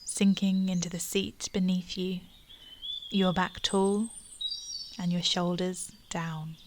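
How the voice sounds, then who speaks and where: A woman guiding a gratitude meditation in a slow, soft, soothing voice that drops to a breathy near-whisper at times. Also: lowish voice, little pitch movement, slight background noise. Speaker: meditation guide. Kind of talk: guided meditation.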